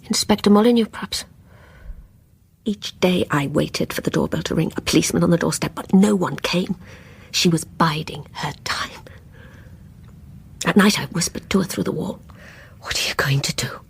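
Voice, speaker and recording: A woman's voice: lowish voice, moderate pace, pauses often, heavily acted. An English actress playing a guilt-ridden, obsessive woman in a radio drama, intense and confessional in dialogue and intimate narration. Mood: brooding. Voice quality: breathy, whispery.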